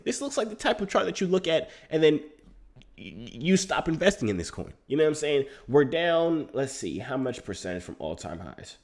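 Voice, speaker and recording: A young man with a stuffy, congested voice talking straight to his viewers in a casual, joking, hyped-up vlog style. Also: mid-range voice. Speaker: crypto YouTuber.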